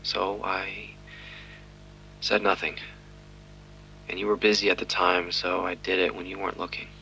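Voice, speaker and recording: An actor playing a weary, despairing police detective in an audio drama, terse and bitter. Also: male voice, low voice, little pitch movement, moderate pace, noisy background. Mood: heavy regret.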